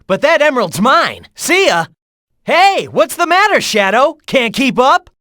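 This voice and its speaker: A man's voice: very high-pitched, pushed and bright, no room sound, studio quality, exaggerated voice. An actor voicing a cocky young male hedgehog hero in a video game, teasing his rival and calling out warnings and tips. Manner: urgent warnings and coaching.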